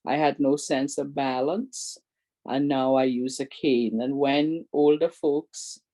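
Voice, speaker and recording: Older woman presenter with a big voice, unhurried and warm in tone, earnestly urging her listeners. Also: extremely low pitch, steady tone. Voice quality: big, strong voice. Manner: earnest, pleading, repeats requests. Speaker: presenter and advocate. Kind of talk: community awareness talk.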